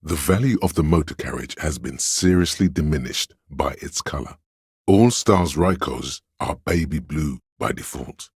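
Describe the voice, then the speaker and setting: Wry know-it-all mind voice, game narration, rumbling bass, dry studio sound, erudite, measured, pedantic. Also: male voice, husky, no echo, full character voice. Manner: measured, pedantic, lecturing, dryly amused. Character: know-it-all inner voice of encyclopedic trivia, erudite, unhurried, wry.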